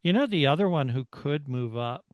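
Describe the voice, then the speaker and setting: A middle-aged American man co-hosting a motorsport podcast, talking through his analysis in a casual, measured way as he thinks aloud. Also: fairly high pitch, expressive, no echo, highs cut off. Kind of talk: casual race analysis chat.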